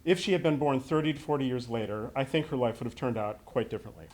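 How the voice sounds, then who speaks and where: A man's voice: mid-range voice, fast talker, a little mellow. A middle-aged American man delivering a prepared memorial speech to an audience in a heartfelt, conversational way. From Western New York State, United States. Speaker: son giving opening remarks at a memorial.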